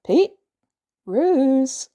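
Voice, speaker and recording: Woman as a wry male inventor, very animated. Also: high voice, very slow, pitched above the performer's own voice, full character voice. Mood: exasperated, puzzled. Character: sarcastic, exasperated adult male inventor, fond of teasing.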